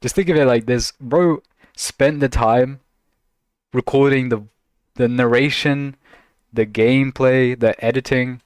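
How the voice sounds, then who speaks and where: A man's voice: medium pitch, fairly even intonation, measured pace, clean tone, dry recording. A man casually reacting to videos on a stream, laughing a lot and teasing in a slangy, joking way.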